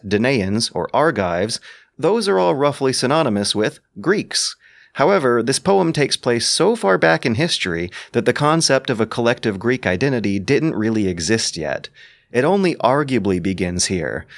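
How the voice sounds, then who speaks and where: Guy with a lowish voice reading an explainer script, articulate, really leans on key words. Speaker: narrator and adapter of an audio drama. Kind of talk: scripted commentary, explanatory narration.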